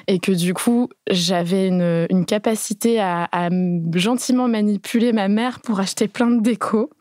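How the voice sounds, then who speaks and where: French woman, 30s, guest, lively but halting, dry close sound. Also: medium pitch, no room sound. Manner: lively, hesitant with many fillers. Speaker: podcast guest. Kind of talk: casual interview chat.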